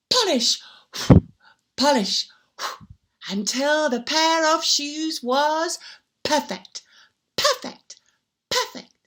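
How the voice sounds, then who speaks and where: A woman tells a story to young children in a high voice that rises and falls dramatically. She takes her time, stretching words for emphasis and repeating sound words. Her delivery is theatrical and invites participation. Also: a bit bright. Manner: invites listeners to join in.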